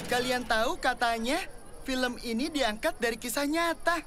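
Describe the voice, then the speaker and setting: A woman voicing an eager, adventurous twelve-year-old boy in an animated comedy, earnest and excitable. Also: high voice, normal speed, background noise, lightly acted. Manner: earnest, excitable, hesitant when starstruck.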